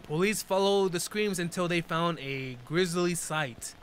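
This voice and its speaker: High-pitched young man doing casual reactions. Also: a little slow, fairly bright tone, a little hiss or hum. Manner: informal, slangy, exclaiming. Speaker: reaction video host. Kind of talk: casual live reaction, reading on-screen text aloud and commenting.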